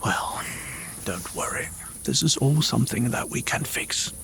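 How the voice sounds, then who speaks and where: A man playing a gentle, caring mage in an ASMR fantasy roleplay, speaking softly and soothingly and often dropping toward a whisper. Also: mid-range voice, expressive, unhurried, gravelly, slight background noise. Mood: soothing, concerned. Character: gentle, caring male mage healing a weary traveler. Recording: faint nighttime cricket ambience.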